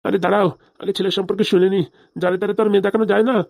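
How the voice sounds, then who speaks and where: Man doing cartoon village dad, older, easygoing banter, talks super fast, very dry close sound. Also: high-pitched, little pitch movement, gravelly, a little mellow, no echo. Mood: eager. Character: older village father, easygoing, eager to marry off daughter. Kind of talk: fast comic cartoon dialogue.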